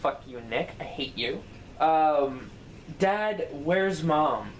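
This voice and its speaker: Young man, offhand question-reader, slightly raised pitch, faint background noise. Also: expressive, extremely slow, poor audio. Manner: offhand, reads questions aloud. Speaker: son reading viewer questions. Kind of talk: casual comment-response chat.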